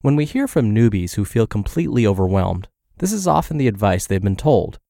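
A man's voice: fairly low pitch, sing-song, a little fast, gravelly, warm tone, no room sound, studio quality. A man hosting a podcast, reading health and fitness blog posts aloud while congested and scratchy from the flu. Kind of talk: read-aloud narration.

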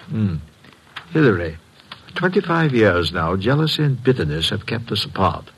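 An actor playing a bewildered middle-aged uncle in an old-time radio drama, with a husky voice and a halting, earnest delivery. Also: male voice, lowish voice, exaggerated voice, rough recording.

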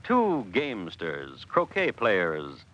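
A man's voice: fairly low pitch, wildly swooping pitch, slow pace, a bit bright, highs cut off. A man delivering dramatic scripted narration in a classic radio drama. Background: music.

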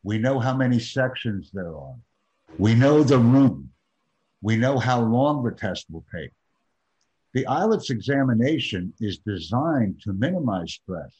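A man teaching an IELTS preparation class in a measured, emphatic way. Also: medium pitch, a little mellow, slightly rough, highs cut off. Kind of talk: classroom instruction.

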